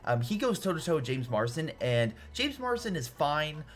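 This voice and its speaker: A man's voice: a little high, sing-song, normal speed. A man giving a casual, opinionated film review in an informal, emphatic, rambling way. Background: background music.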